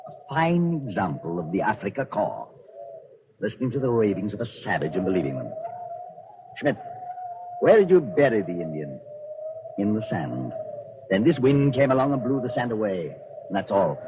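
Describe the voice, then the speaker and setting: An actor playing a scornful, callous Nazi colonel in a 1940s radio drama, barking orders dismissively. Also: male voice, lowish voice, big pitch swings, moderate pace, pauses often. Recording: noisy, dull old radio recording.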